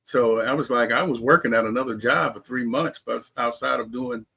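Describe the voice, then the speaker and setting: A man's voice: medium pitch, brisk, vocal fry, sharp tone, slightly rough. A man speaking casually as an interview guest, telling personal stories. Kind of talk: casual conversational storytelling.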